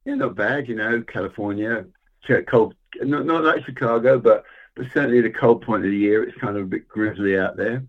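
Guest voice: middle-aged man, relaxed storyteller, heard over a thin phone-style line. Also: lowish voice, fairly quick. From England. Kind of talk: casual interview chat. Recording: tinny phone-like remote line.

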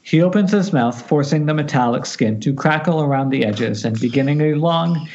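A man's voice: fairly high pitch, normal speed, a little mellow. A man reading horror screenplay stage directions aloud at an amateur table read over an internet call, stumbling and correcting himself. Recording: muffled internet call audio.

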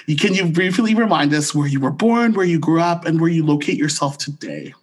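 A man's voice: a little high, expressive, a little fast. A man from Canada co-hosting a casual podcast, welcoming his guest with enthusiasm. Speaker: podcast co-host.

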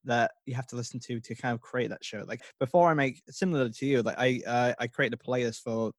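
A young man hosting a relaxed podcast conversation. He talks in an easygoing, agreeable way, full of ums and uhs, and sounds dry and close to the mic. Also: mid-range voice, fairly quick, dry recording. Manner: easygoing, agreeable, many fillers. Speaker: podcast host. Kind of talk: casual interview chat.

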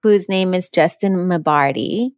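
A woman's voice: fairly low pitch, measured pace, no room sound, faint music behind. A woman co-hosting a podcast, teasing lightly and laughing a lot. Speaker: podcast co-host. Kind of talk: casual chat.

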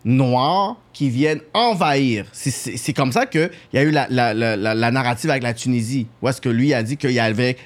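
A man's voice: mid-range voice, normal speed, few pauses. Quebec man in an insistent debate. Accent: Quebec French. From Canada, Quebec. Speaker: podcast panellist. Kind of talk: casual debate.

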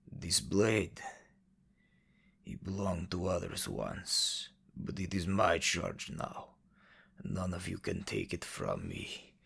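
Mysterious defiant prisoner, acted by a man, deep and husky, halting, intense radio drama delivery. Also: pushed and bright, heavily acted. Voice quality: gravelly, husky. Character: mysterious chained male prisoner, defiant thief guarding a blade.

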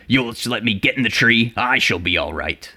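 A man voicing an indignant, easily enraged owl in a storybook, hoarse, with rustic, ungrammatical, scolding speech. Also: mid-range voice, expressive, normal speed, bright, forceful tone, voice pushed up. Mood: startled, bossy. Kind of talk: storybook character voice.

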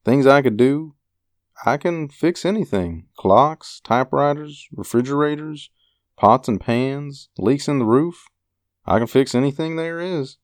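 A weary drifter man, acted out by a woman audiobook reader, low and halting, with a dry, close sound. He is a hungry, proud handyman, vague in manner, and his pitch moves up and down freely. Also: expressive, dry recording. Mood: earnest, weary.

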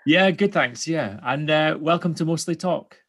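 A man hosting a podcast interview in a relaxed way, with short agreeing replies. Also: on the high side, moderate pace, husky. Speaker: podcast host.

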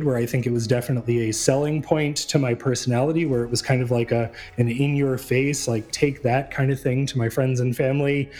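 A man from New England talks through a solo podcast episode in a loose, rambling way, full of "uh"s and restarts. His voice sits at an ordinary middle pitch. Faint music plays softly underneath. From New England, USA. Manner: rambling and chatty, many fillers and restarts. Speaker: podcast host.

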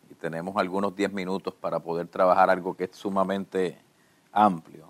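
A man interviews a pastor. His voice sits a bit low. He tells stories with animation. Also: flat delivery, moderate pace. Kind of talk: church radio interview.

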